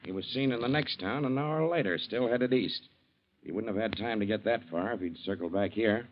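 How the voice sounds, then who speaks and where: An actor playing a folksy small-town sheriff, patient and firm, in 1940s radio drama style. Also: male voice, lowish voice, a little fast, a little hiss or hum, lightly acted. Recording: dull, lo-fi 1940s radio recording.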